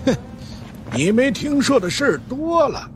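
A man's voice: extremely high pitch, very animated, unhurried, over music, pitched above the performer's own voice, heavily acted. Man doing a smug old monk, swooping delivery. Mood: grumpy, dismissive. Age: older. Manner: smug, teasing drawl with interjections. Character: sly, boastful old Taoist monk, money-loving and chuckling. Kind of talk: audiobook character acting.

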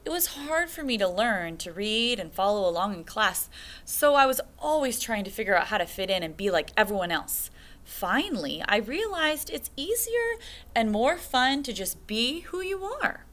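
A woman in her thirties, a teacher, reading a picture book aloud to young children in a classroom with a slow, sing-song storytime delivery. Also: a little high, very animated, fairly bright tone. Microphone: webcam at desk.

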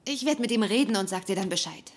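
A woman's voice: mid-range voice, animated, fast talker, close to an everyday voice. An actress playing a down-to-earth young woman in a dubbed sitcom, speaking casually with wry patience. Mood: weary. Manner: casual, plain-spoken. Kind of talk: German-dubbed sitcom acting. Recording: noisy sitcom soundtrack.

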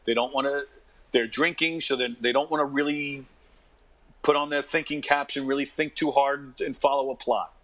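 Bright-voiced New man of fifty. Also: mid-range voice, steady tone, normal speed. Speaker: interview guest, actor and comedian. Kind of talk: casual interview anecdotes and advice.

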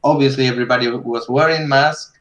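Guy in his 30s on a video call, earnest and reflective, nonnative english, mid pitch. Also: fairly even intonation, fairly quick. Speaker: panelist, digital painter. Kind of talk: video call panel discussion. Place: home room with bookshelves.

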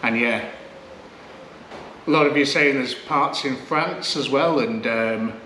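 A man's voice: medium pitch, slow pace, roomy sound, slight background noise, rough recording. A man, chatting casually to camera and to himself while he works on an old car. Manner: chatty, relaxed, talks to himself while working. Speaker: classic car restoration vlogger. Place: garage or barn workshop.

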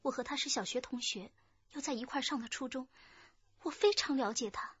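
A young woman witness, nervous and defensive. The voice is high and husky, recorded close and a little dull, in radio drama style. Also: fairly quick, dry recording. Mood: anxious, pleading. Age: young adult.

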